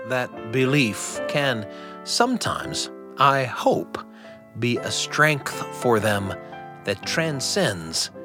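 A middle-aged man hosting a radio show, telling a personal family story in a gentle, reflective, earnest way. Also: medium pitch.

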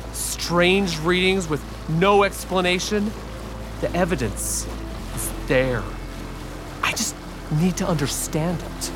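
Casting: earnest oddball uncle and boat owner, hesitant, conspiratorial, family audio drama over a music bed. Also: male voice, fairly high pitch. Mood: solemn.

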